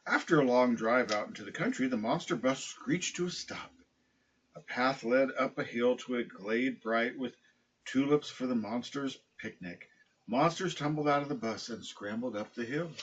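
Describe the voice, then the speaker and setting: A man with a husky voice reading a children's picture book aloud. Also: medium pitch, normal speed, a bit bright, highs cut off. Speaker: storybook reader, dog owner.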